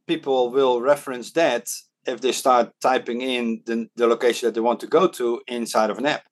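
A Dutch man talks through his points in a relaxed interview, stopping often on "uh" and restarting his words. His voice sits at an ordinary middle pitch for a man. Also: normal speed. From Netherlands. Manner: hesitant, many fillers and restarts. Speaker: podcast guest, startup advisor. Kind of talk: casual interview talk. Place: Hong Kong.

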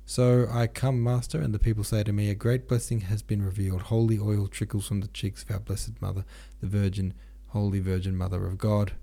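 A garrulous old pilgrim woman from a storybook, voiced by a man, rambling on with earnest piety. The voice is creaky and a little husky, and she is quick to admonish and easily shocked. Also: lowish voice, little pitch movement, mellow. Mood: reverent. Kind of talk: audiobook character reading.

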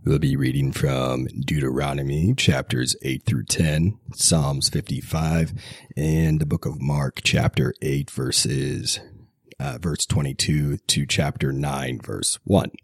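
A man's voice: extremely low pitch, slow pace, gravelly, husky, dry recording, studio quality. A man who is getting over a cold hosts a podcast and reads scripture aloud steadily, correcting himself now and then. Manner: steady reading with self-corrections. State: recovering from a cold. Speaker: podcast host reading scripture. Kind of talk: scripture reading.

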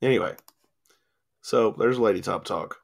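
A man talks through college sports results on a studio radio mic, rambling and filling pauses with ums. His voice has a gravelly edge. He sounds like he is starting to lose it. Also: lowish voice. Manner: rambling, lots of ums and filler. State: losing his voice, coughing. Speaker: sports talk host. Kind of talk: casual sports radio chat. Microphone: studio mic with cough button.

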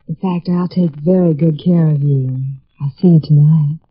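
Woman playing a low-voiced, seductive college temptress. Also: steady tone, moderate pace, natural voice. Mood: flirtatious. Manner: flirtatious, cutting, self-assured. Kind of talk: 1950s American radio drama acting. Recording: noisy, muffled vintage radio recording.

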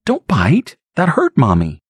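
A man voicing a terrified young mother, his voice pushed up into a high, strained falsetto. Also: wildly swooping pitch, measured pace, breathy edge, no echo, exaggerated voice. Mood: startled pained.

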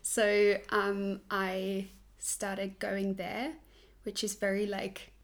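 A woman's voice: mid-range voice, monotone, extremely slow. A woman in her twenties from Switzerland, a guest talking casually in an interview, chatty with frequent laughs and filler words. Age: 20s. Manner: chatty, laughs often, many ums and likes.

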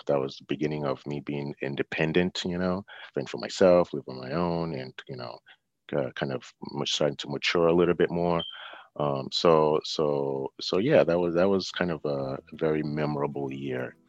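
A middle-aged man with an extremely deep voice. He talks thoughtfully, with lots of fillers and restarts, as a guest in a casual interview. The recording is very dry and a little dull. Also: creaky, no echo. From Nigeria and the US.

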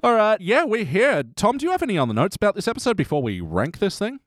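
Man chatting with a very lively pitch. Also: quick pace, dry recording, clean studio sound. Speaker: podcast host. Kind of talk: casual podcast review chat.

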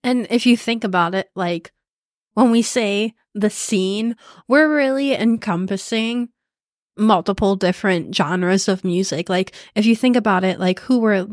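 A young woman co-hosting a podcast, chatting in a relaxed, rambling way with many "like"s. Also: mid-range voice, a little slow, no echo, clean studio sound. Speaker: podcast co-host. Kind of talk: casual chat.

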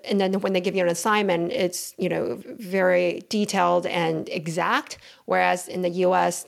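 A woman in her thirties from Taiwan, a physician guest on an interview, explaining in a steady, matter-of-fact way. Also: on the low side, vocal fry. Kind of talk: interview conversation.

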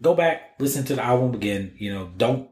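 A man's voice: medium pitch, lively intonation, fairly quick, a little mellow. An American man chatting casually and enthusiastically about music on a podcast, with slangy, profane language. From US East Coast. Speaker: podcast panelist. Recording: roomy, echoey room.

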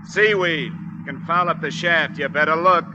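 Steely male soldier, an actor's role: high voice, terse commands, noisy old radio drama. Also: normal speed, dull audio, close to an everyday voice. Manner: terse, firm. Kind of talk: 1940s radio acting. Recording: noisy 1940s radio recording.

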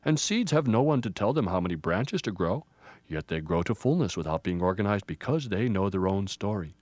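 A man voicing a wise, grandfatherly old pine tree with a deep booming voice and solemn manner, in a storybook. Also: animated, darkish tone, no room sound, lightly acted. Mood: patient teaching. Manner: slow, solemn. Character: wise, ancient male ponderosa pine, grandfatherly and serene.

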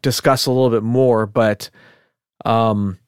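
A man with a voice pitched right in the middle walks his listener through a story in easy podcast chat. He keeps it loose and conversational, with asides and filler words. The sound is dry and close, with no room echo. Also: takes it slowly, clear, resonant tone. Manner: chatty, relaxed, thinks aloud with fillers and asides. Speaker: podcast co-host. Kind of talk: casual storytelling of a case.

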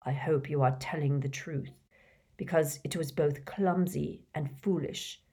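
A cold, menacing male schoolmaster from a storybook, put on by a woman reader. He speaks low and quietly, slow and clipped, every word controlled. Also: fairly even intonation, husky, full character voice.

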